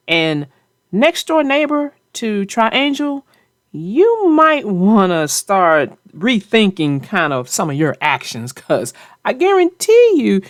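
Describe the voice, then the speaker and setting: A woman hosting a children's read-aloud show, chatting about a book with young readers in deliberate, emphatic phrases. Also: medium pitch, no echo, clean studio sound.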